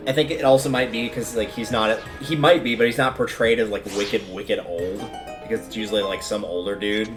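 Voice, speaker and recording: A man with a fairly low voice talks his way through a video game on a livestream. He rambles casually, thinking aloud as he plays, with music playing behind him. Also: steady tone, fairly quick. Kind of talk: casual gameplay commentary.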